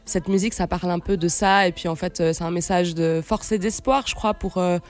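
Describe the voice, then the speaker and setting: A woman's voice: fairly low pitch, fairly even intonation, brisk. A woman, chatting casually as an interview guest, searching for her words, close on a studio mic. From Switzerland, then Brazil as a teenager. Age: late 20s. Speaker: guest, singer-songwriter. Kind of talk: casual radio interview chat. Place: radio studio. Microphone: studio microphone on a stand, close.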